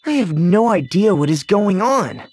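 A woman's voice: mid-range voice, big pitch swings, moderate pace, a little mellow, breathy edge. A woman playing an earnest, bewildered young hero in a fantasy video game, with an emotive, hesitant delivery. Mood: puzzled, urgent.

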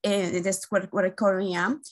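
A woman, giving an earnest, halting webinar presentation as an engineering team lead, often pausing on "uh", over a video call from an indoor room. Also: mid-range voice, flat delivery. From Guatemala. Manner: earnest, halting, with frequent "uh" fillers and restarts. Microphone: video call webcam.

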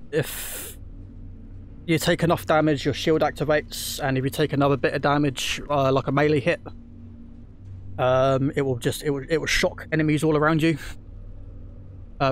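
A man's voice: a little high, fairly even intonation, normal speed, long pauses, slight background noise. A man giving casual let's-play commentary, rambling with lots of ums and restarts, recovering from a throat infection. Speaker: gaming YouTuber.

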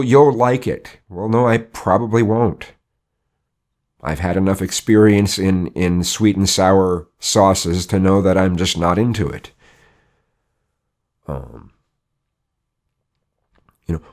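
Hoarse middle-aged male vlogger, slightly low voice, rambling opinion monologue. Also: darkish tone. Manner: rambling, frequent restarts and rhetorical questions. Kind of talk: casual opinion monologue to camera. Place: indoor studio with colored backdrop.

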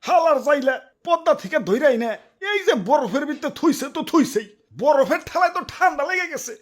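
A man's voice: extremely high pitch, animated, a little fast. A man voicing a vain, boastful hilsa fish king in a cartoon, talking in a rustic, theatrical way. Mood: whiny. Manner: rustic dialect, bragging, theatrical. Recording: cartoon with music behind.

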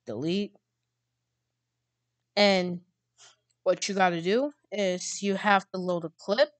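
A woman hosting a casual software tutorial, speaking in a halting, drawn-out way. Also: mid-range voice, steady tone, dry recording. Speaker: tutorial host.

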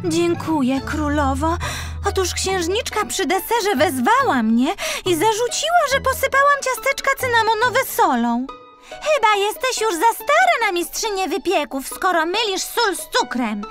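A woman's voice: very high-pitched, sing-song, a little slow, over loud music, pitched above the performer's own voice, heavily acted. A woman voicing a timid, plaintive older palace pastry mistress with a thin little voice in a storybook. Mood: hurt, near tears.

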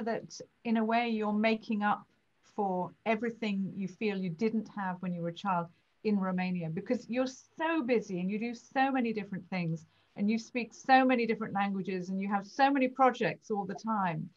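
A woman's voice: mid-range voice, moderate pace. An older woman hosting a conversational interview over a video call. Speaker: interview host. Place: indoors at home, on a video call. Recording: slightly dull video-call audio.

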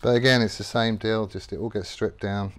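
A man's voice: lowish voice, steady tone, fairly quick. A middle-aged man casually explaining his tool care while working in a garage workshop, rambling and matter-of-fact with many ums. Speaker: workshop vlogger.

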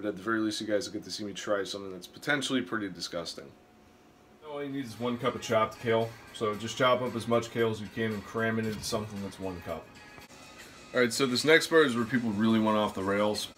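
A man in his twenties talking casually to camera in a vlog, relaxed and a bit self-deprecating, first from a parked car, then in a small kitchen. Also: fairly low pitch. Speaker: vlogger.

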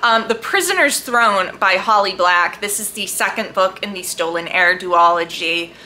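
A woman book vlogger chatting casually and enthusiastically to camera, leaning hard on emphatic, drawn-out words. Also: mid-range voice, sharp tone, a little hiss or hum, low-quality recording. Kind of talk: casual chat to camera about books.

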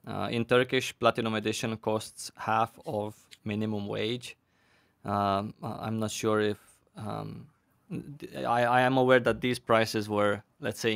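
A man hosting a livestream panel on a headset mic, reading viewer questions aloud with many pauses and fillers. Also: lowish voice, creaky, fairly bright tone, no room sound. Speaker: host.

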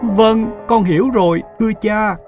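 A man voicing an earnest, emotional young son in an animated fairy tale. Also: sits high, unhurried, soft background music. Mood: obedient.

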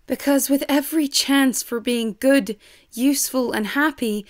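A woman's voice: sits high, measured pace. An actress playing a proud, outspoken, ambitious young lady in an audio drama. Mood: indignant. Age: young adult.

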